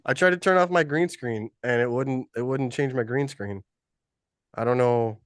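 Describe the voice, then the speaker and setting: A man's voice: mid-range voice, moderate pace, no echo. Male podcast host with a cold, sniffly, chatty and laughing often, dry close call audio. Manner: loose, laughing, rambling. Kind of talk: casual live podcast chat.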